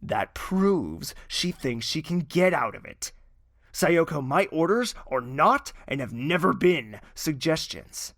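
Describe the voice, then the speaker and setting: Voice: medium pitch, very animated, slow pace, fairly bright tone, no echo, studio quality, full character voice. An actor playing a stern royal ruler in a fantasy audio drama, commanding and curt, expecting obedience. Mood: imperious, threatening. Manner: authoritative, curt, imperious.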